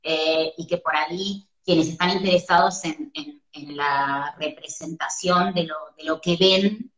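A woman in her thirties with a Rioplatense Spanish accent hosts an interview over a video call. Her voice sits a little low, and she feels her way through long questions. The room around her sounds roomy and echoey. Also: fairly even intonation, measured pace, fairly bright tone. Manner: thoughtful, searching for words. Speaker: host, interviewer. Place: room at home.

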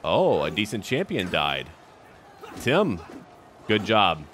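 Male game host, low voice with lively pitch movement, theatrical roleplay delivery in clipped statements, music underneath. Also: slow pace. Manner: theatrical, short declarative remarks, talking to characters. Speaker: gaming video host. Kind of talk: first-person roleplay commentary on a strategy game.

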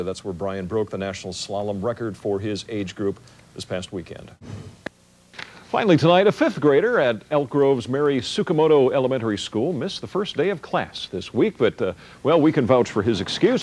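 A man's voice: medium pitch, darkish tone. A middle-aged American man reading the news in a scripted broadcast style as a TV anchor. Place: TV news studio. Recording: noisy old TV broadcast tape.

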